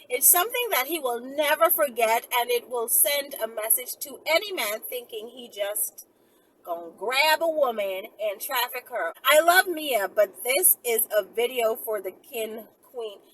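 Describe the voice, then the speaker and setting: A woman chatting casually to her viewers in a blunt, slangy, animated way, often sidetracking herself. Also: sits high. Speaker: video channel host. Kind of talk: casual rambling chat to viewers. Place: outdoors near houses and passing cars. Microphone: phone on a holder. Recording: noisy outdoor phone recording, passing vehicles.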